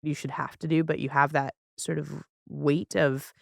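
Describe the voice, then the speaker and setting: A woman's voice: low voice, level tone, normal speed, vocal fry, dry recording, studio quality. A woman chatting casually as a podcast guest, thoughtful and often searching for words as she talks about television.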